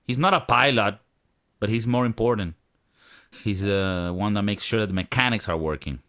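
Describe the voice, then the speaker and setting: A man's voice: lowish voice, normal speed. A man hosting a casual podcast, joking and swearing freely as he tells stories. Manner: profane, joking, animated storytelling. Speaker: podcast host.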